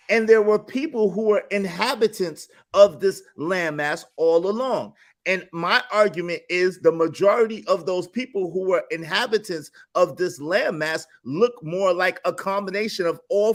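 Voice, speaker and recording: Male panel host, high voice, insistent and methodical in debate. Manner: measured, argumentative, stammers restarts.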